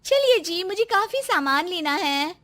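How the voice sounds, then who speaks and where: A woman's voice: very high-pitched, sing-song, measured pace, very noisy, voice pushed up, exaggerated voice. A woman voicing a sweet, timid young daughter-in-law eager to please, in a cartoon story. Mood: cheerful. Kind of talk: cartoon moral-story voice acting.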